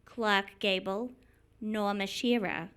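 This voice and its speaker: A woman's voice: medium pitch, steady tone, slow, fairly bright tone, clear, resonant tone. A woman playing a scatterbrained, cheerful wife turned amateur detective in the style of 1940s radio comedy, earnest and confidently illogical. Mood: proud, earnest.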